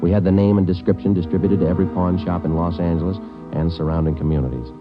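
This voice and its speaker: Radio crime drama lead for a male actor: terse American cop, deep matter-of-fact delivery, muffled vintage recording. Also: quick pace, music in the background, close to an everyday voice. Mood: flat narrating. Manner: clipped, matter-of-fact, deadpan. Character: dedicated, terse male police detective sergeant, narrating his cases. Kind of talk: 1940s-50s radio drama acting and narration.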